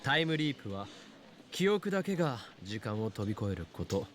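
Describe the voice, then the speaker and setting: A self-assured young man in an anime visual novel. He talks very quickly, with a firm, clipped delivery, like someone lecturing matter-of-factly. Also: fairly low pitch, big pitch swings, a little hiss or hum. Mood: explaining.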